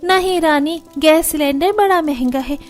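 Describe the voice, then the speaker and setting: Woman voicing very high-pitched practical young sister-in-law. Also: moderate pace, soft background music, close to an everyday voice. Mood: matter-of-fact. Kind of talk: Hindi cartoon story dialogue.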